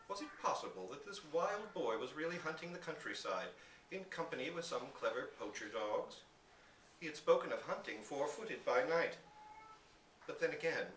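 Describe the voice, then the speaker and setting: A man reading a literary short story aloud to listeners as an expressive storyteller, recorded live indoors. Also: mid-range voice. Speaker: story reader. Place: indoor public reading in a bookstore. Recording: roomy, distant live recording with hiss.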